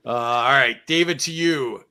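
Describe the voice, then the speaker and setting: A man's voice: mid-range voice, bright, forceful tone, clean tone. A man hosting a casual online game show, joking, laughing often and reading out chat comments.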